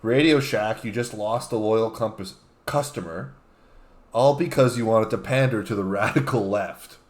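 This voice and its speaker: A man guesting on a comedy talk show, bantering casually and reading posts aloud in a halting way. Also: lowish voice.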